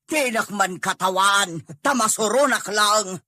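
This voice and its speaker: A woman's voice: mid-range voice, unhurried, pushed and bright, dry recording. A woman with an Ilocano Filipino accent playing an irritable, blunt, disapproving mother of a grown son in a comedy drama. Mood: irritated.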